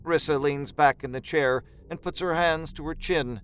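A man's voice: a little high, normal speed. A man narrating a scripted horror story in a dramatic storytelling style.

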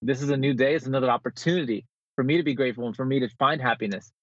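Fast-talking man on a dull remote call. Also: medium pitch, fairly bright tone, breathy edge, dry recording. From born in the Philippines, grew up in New Jersey. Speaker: interview guest, motivational speaker and author. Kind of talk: conversational motivational interview.

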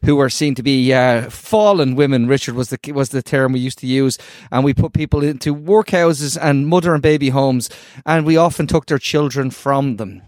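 A man's voice: medium pitch, moderate pace, hardly any pauses, a little mellow, dry recording, clean studio sound. An Irish man co-hosting a podcast, blunt and informal, tripping over repeated words as he asks questions. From Ireland. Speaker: podcast co-host. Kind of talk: casual interview chat.

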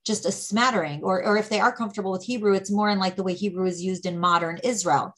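A woman leads a study session in an easy conversational tone. She explains terms patiently and often restarts her sentences. Her voice sits at a middle pitch. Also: steady tone, fast talker, fairly bright tone. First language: English. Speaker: Torah teacher. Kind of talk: conversational teaching.

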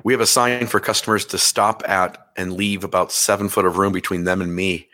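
Male podcast host, a bit hoarse and crackly, lower pitch, bright tone, halting casual talk. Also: little pitch movement. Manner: halting, many fillers and restarts. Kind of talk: casual chat.

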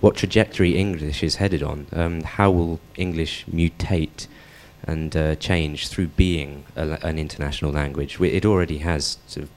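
A man with an extremely deep voice asks the panel a question at a public debate. He hesitates often and fills the gaps with ums. A faint room ambience sits behind him. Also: steady tone, normal speed, few pauses, a little mellow, a little hiss or hum. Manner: hesitant, many fillers. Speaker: audience member asking a question.

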